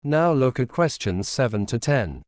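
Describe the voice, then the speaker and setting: A man narrates scripted exam instructions in a formal announcing manner. His voice sits at a middle pitch and is recorded dry, with very little room. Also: big pitch swings, measured pace, no room sound.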